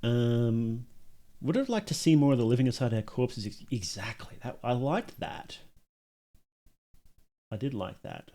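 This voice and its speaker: A man's voice: medium pitch, expressive, unhurried, pauses often, mellow. A man hosting a reaction livestream, talking informally to his chat as he thinks aloud and judges, with some mild swearing. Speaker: livestream host reacting to and judging short films. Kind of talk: casual livestream commentary to chat.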